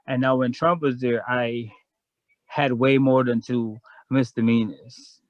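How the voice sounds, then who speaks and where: A man's voice: medium pitch, fairly even intonation, slow pace, no echo, lo-fi sound. A man from Guyana, a guest in a casual chat, telling a long story in a frank, rambling way.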